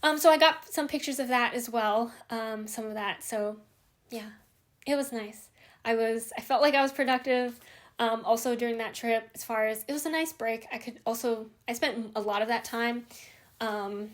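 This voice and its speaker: A woman's voice: on the high side, moderate pace. A young woman from Hawaii hosting a knitting vlog, chatting casually and rambling with many fillers and restarts. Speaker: knitting podcast host.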